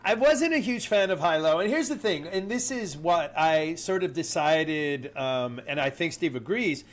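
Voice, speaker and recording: A man co-hosting a podcast, his voice sitting a little high and sounding nasal and stuffed-up. He talks casually and chattily, laughing along easily with his partner. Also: animated, moderate pace. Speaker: podcast co-host.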